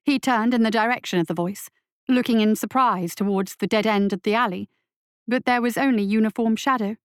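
A woman's voice: a little high, a little fast, smooth, dry recording. Woman narrating fiction, dry close sound. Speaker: story narrator.

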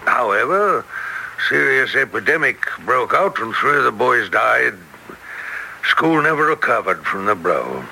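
A man playing an excitable, eccentric naturalist with a sinister edge and hesitant starts, in old radio drama style. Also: medium pitch, a little slow, creaky, full character voice. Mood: smooth, reflective. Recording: faintly noisy old radio recording.

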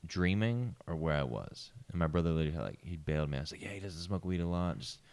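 A man's voice: low-pitched, animated, fast, creaky, darkish tone. A man in his twenties, a comedian guest, telling a rambling, swear-heavy story in casual podcast chat. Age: 20s.

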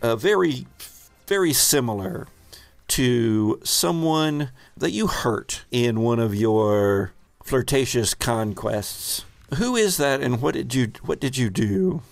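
Male tabletop game master, gravelly, halting storyteller, recorded very dry. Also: medium pitch, expressive, no room sound. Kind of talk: narrating a tabletop roleplaying game.

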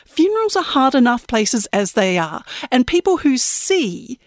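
A woman voicing a prim, eerie old woman who haunts funerals, speaking in a genteel, unhurried, knowing way. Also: high voice, sing-song, dry recording, clean studio sound, voice pushed up. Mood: calm, knowing.

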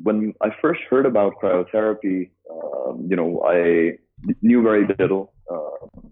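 Low-voiced man on a tinny phone line. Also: animated, breathy edge. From Dubai, UAE. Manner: halting, many filler sounds and restarts. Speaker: guest, business co-founder. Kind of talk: casual interview answers. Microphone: phone call.

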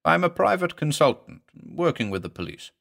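A gaunt private investigator , voiced by a male narrator in a mystery story. He is courteous, patient and reserved, with formal phrasing and a lively rise and fall. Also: a little high, moderate pace, creaky, voice pushed up, exaggerated voice. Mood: polite, patient. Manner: patient, soft-spoken, formal.

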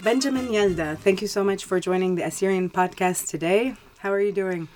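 A woman's voice: medium pitch, fairly quick, music in the background. A woman speaking as a podcast host, presenting to listeners and interviewing a guest. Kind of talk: scripted podcast introduction and sponsor reads, then interview questions.

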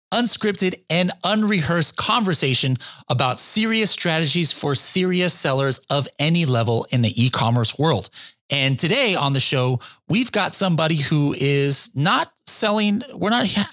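A man's voice: a little high, fairly bright tone, no room sound, studio quality. A man hosting a podcast, asking questions in an upbeat, conversational way. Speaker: podcast host. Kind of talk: podcast interview.

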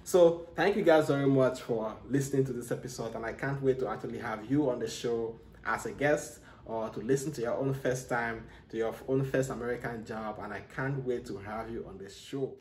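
A man from Cameroon talks to his podcast listeners in an earnest, motivational tone, often restarting his sentences. His voice sits at a medium pitch and carries a noticeable room echo. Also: normal speed, few pauses, darkish tone, slight background noise. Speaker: podcast host. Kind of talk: solo podcast monologue.

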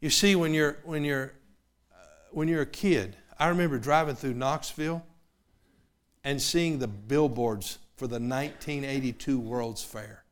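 A man, preaching a sermon. His delivery swings from loud, fervent preaching to quiet personal asides. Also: mid-range voice, unhurried. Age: 50s.

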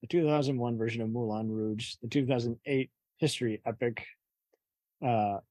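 A man hosts a casual film podcast. His voice is medium in pitch and recorded dry, with little room sound. He talks conversationally. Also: fairly even intonation, moderate pace, mellow, no room sound. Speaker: podcast host.

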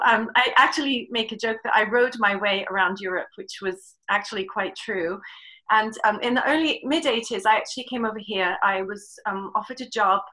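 A woman's voice: a little high, bright, forceful tone, low-quality recording. A middle-aged woman with an English accent, casually telling her own story as an interview guest. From England. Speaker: webinar guest, riding clinician and horse trainer.

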